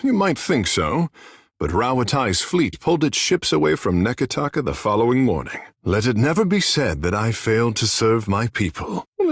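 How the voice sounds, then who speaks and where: Game role: flirtatious godlike fish-man, low husky voice, florid and teasing, tender under the bravado. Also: no echo, studio quality, heavily acted. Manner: florid, formal, teasing speech with dramatic pauses. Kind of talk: video game character dialogue.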